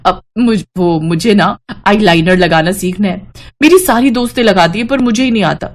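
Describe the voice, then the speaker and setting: A woman's voice: medium pitch, moderate pace, lightly acted. A timid young girl, the little sister-in-law of a cartoon moral story acted by a woman. She speaks shyly and hesitantly, yet with eagerness. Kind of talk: Hindi animated moral-story voice acting.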